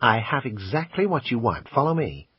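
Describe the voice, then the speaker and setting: A man's voice: mid-range voice, very animated, moderate pace, slightly rough, no echo, voice pushed up. A man voicing an eager, haggling male pawn shop salesman with a pushy sales patter. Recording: dull, slightly lo-fi.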